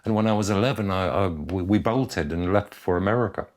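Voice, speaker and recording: A middle-aged man who grew up in Argentina, speaking as a guest musician in a relaxed interview with an easygoing, reflective manner. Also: low voice, a little fast. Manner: easygoing, reflective, chuckles at his own jokes.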